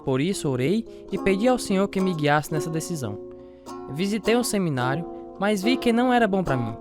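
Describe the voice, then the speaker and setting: A man's voice: high voice, animated, fairly quick, music in the background. A man acting as an earnest former boxer turned evangelist, narrating his life story in a scripted radio drama. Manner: earnest, devout. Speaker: narrator and lead actor.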